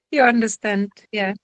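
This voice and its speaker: This woman speaks with a Czech accent at a slow, unhurried pace, stopping often to search for the next word. Her voice sits a little high, and the sound is dry and close with no room echo. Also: fairly bright tone. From Czech Republic. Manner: halting, searching for words, many fillers. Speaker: trauma therapist. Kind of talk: online lecture Q&A.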